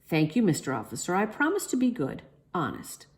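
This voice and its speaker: A woman's voice: lowish voice, wildly swooping pitch, brisk. A woman voicing a hapless, earnest, pleading father in a children's storybook. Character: hapless, pleading football-loving dad.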